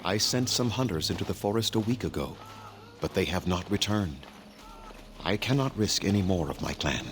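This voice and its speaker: A grave elven clan leader from a fantasy game, with a very low voice and formal, measured speech. He sounds weary and solemn, with clear outdoor ambient noise around him. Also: animated, fairly bright tone. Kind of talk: scripted video game dialogue.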